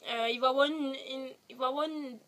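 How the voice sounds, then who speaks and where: A woman's voice: high voice, steady tone, fast talker, fairly bright tone. A teenage woman with a Quebec French accent, chatting to camera in her bedroom, rambling with many hesitations, a little congested from a cold. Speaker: vlogger. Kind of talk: casual chat to camera. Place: bedroom, sitting on a bed. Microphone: device camera at short distance.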